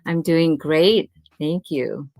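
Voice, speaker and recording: A woman from Singapore with a notably low voice. She is a guest on an interview show and answers with candid reflection, pausing with "um" as she thinks. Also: darkish tone, dry recording, soft background music. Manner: thoughtful, candid, frequent ums. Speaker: nutrition professor, guest.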